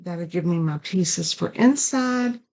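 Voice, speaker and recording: An older woman, raspy and hoarse from a sinus infection, hosting a casual card-making tutorial at her craft desk. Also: lowish voice, animated, takes it slowly, a little mellow. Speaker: card-making channel host.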